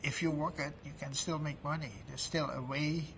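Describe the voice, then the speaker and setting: Old guy in his late 70s, kinda high gravelly voice, lots of uh and you know, restarts words, chatting at an event booth. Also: steady tone, noisy background. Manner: halting, restarting words, many fillers. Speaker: product promoter at an event booth. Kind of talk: informal testimonial interview. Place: trade event floor.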